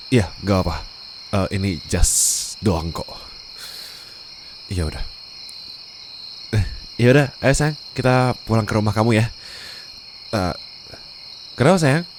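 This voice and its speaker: Man playing a low-voiced, haughty young boss. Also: animated, unhurried, long pauses, a little mellow, a little hiss or hum. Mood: softened, affectionate. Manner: haughty, scolding, insulting. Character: curt, sarcastic, bossy young male office boss. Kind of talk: ASMR roleplay audio drama.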